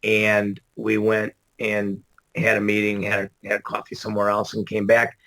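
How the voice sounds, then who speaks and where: A hoarse, gravelly middle-aged man, worn out after an all-nighter, chatting as a podcast guest with lots of fillers and laughs. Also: lowish voice, monotone, moderate pace, a bit bright, poor audio. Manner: rambling, laughs often, many fillers. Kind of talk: casual interview chat.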